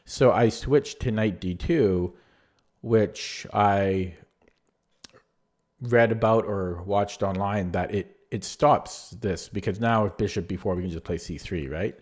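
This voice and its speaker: Hoarse man talking through a chess game. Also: low voice, moderate pace, a little mellow. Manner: rambling, many fillers and restarts. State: losing his voice. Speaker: chess player reviewing his own game. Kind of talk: casual spoken chess analysis.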